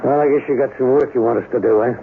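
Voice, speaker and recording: An actor playing a plain-spoken, embittered rural mechanic with a halting, folksy way of talking and a gravelly voice, in a radio drama. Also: male voice, mid-range voice, natural voice. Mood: bitter, angry. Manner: folksy, halting, ungrammatical speech. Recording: narrow phone-like, faintly noisy radio broadcast.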